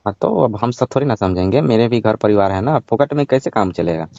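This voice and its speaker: A man's voice: on the low side, lively intonation, brisk, creaky, warm tone, dry recording. A man playing a cheeky, money-minded village ice-cream seller in a staged phone-call skit, matter-of-fact, teasing and evasive. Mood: businesslike. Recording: dull, lo-fi call recording.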